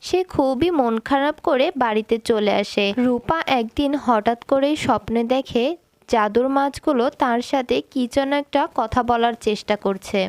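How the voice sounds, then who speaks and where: Female storyteller for animated folk tales, slightly high voice, dry sound. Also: normal speed, no echo. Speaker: story narrator. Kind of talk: scripted cartoon fairy-tale narration.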